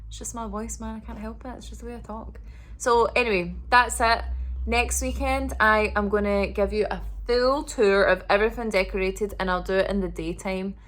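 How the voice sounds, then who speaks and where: A woman vlogging a casual apartment tour indoors, talking in a monotonous, relaxed way with lots of fillers. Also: mid-range voice, normal speed. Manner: monotonous, flat delivery; relaxed, filler-heavy talk.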